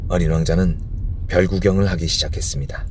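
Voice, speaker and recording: Low-voiced man narrating a bedtime story. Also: fairly even intonation, fast talker, noisy background. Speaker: audiobook narrator.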